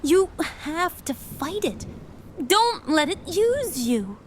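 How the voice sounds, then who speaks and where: A woman's voice: very high voice, big pitch swings, takes it slowly, slight background noise, full character voice. A woman playing a frightened yet defiant young mage in a fantasy audio drama, forcing her words out under strain. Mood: strained pleading.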